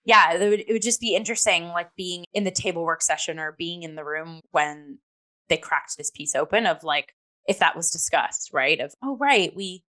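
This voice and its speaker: A woman co-hosting a casual review talk show, speaking in an animated, conversational way peppered with filler words. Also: lowish voice, normal speed.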